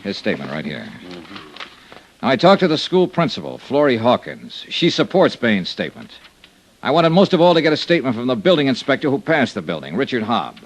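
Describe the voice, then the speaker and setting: A man's voice: medium pitch, very animated, a little fast, rough recording. Tough american private investigator guy, clipped and dry, voice really moves up and down, old radio drama. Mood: brisk briefing. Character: tough, hard-boiled male insurance investigator. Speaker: lead actor and narrator of radio detective serial. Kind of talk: old-time radio detective acting and narration. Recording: old radio broadcast recording.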